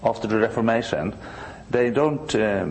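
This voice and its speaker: A Swedish man in his sixties from the north of the country, a church musician being interviewed, speaking halting English and often restarting to search for words. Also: lowish voice, darkish tone, poor audio. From northern Sweden.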